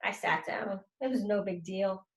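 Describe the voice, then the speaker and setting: A woman teacher reading to children and commenting as she goes, expressive and stressing key words. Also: medium pitch, moderate pace, echoey room.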